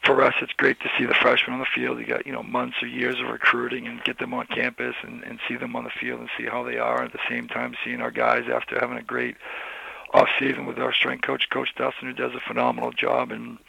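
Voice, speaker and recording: A hoarse man speaks with a heavily gravelly, raspy edge and a tense, forceful tone that stays flat in pitch. He is a college football coach who rambles through his answers, restarting and leaning on fillers. The sound is dry and close. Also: a little fast, no room sound, poor audio. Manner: rambling, many restarts and "you know" fillers. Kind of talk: casual interview answers.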